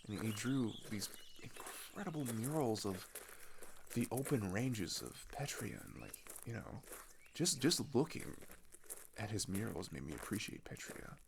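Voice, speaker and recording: A young man playing a scared, grieving older teenage boy in an intimate audio roleplay, talking in a halting, casual, rambling way. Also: on the low side, big pitch swings, vocal fry, slight background noise, heavily acted. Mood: wistful grief. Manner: halting, rambling, casual youthful speech with sighs.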